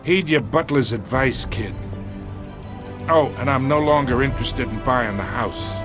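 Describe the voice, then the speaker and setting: An actor as a slow, menacing crime boss. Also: male voice, mid-range voice, over music, close to an everyday voice. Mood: cold threat. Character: menacing crime boss, adult man, contemptuous and threatening. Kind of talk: dramatic video game voice acting.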